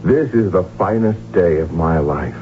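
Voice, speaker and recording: An actor playing a proud, impatient middle-aged archduke in a radio drama, formal and emphatic. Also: male voice, sits low, lively intonation, unhurried, a little hiss or hum. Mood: fervent, resolute. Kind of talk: 1970s radio drama acting. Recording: muffled lo-fi radio broadcast with music.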